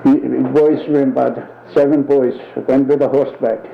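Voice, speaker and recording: An older man being interviewed for an oral history, giving short, plain answers dotted with quick yeahs. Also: mid-range voice, little pitch movement, creaky, reverberant, lo-fi sound.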